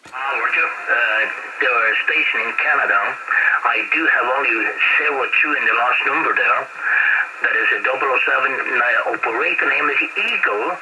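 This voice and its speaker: A man with a Norwegian accent making radio contact in polite, halting, repetitive phrases over a CB radio. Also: medium pitch. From Norway. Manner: polite, halting, repeats himself. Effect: CB radio transmission. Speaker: amateur CB radio operator. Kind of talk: radio contact chat with procedure words. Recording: very noisy CB radio reception with static. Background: loud radio noise.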